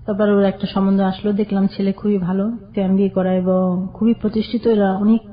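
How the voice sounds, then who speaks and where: An older woman tells her own story on a radio program. She speaks plainly and sometimes pauses to collect her thoughts. The recording sounds dull and lo-fi. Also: mid-range voice, little pitch movement. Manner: plain, halting recollection. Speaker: caller guest. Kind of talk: personal storytelling.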